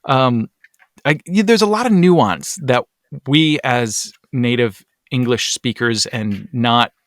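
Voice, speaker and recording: A man hosting a podcast, talking casually with lots of ums and quick asides. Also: mid-range voice, no echo, clean studio sound. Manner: chatty, many ums, rising asides. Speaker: podcast host. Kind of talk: casual chat.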